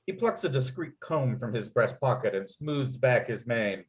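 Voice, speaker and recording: A man's voice: mid-range voice, expressive, moderate pace. Guy narrating a script table read, reads out the stage directions. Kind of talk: reading screenplay stage directions aloud.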